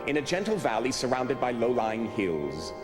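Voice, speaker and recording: An actor playing an anxious, exasperated gentleman adventurer who narrates and acts in an old-time radio drama. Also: mid-range voice, big pitch swings, moderate pace, over music, natural voice. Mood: measured, wry narration. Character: anxious, exasperated male travel companion, sceptical gentleman adventurer. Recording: echoey radio drama production.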